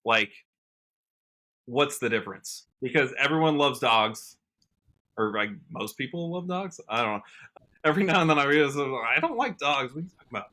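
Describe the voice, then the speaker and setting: A man with an American accent and a bright, forward voice. He talks casually and with earnest enthusiasm, like a guest in a relaxed podcast conversation. His pitch sits in a middle range. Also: moderate pace. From United States, Washington state. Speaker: podcast guest, country musician.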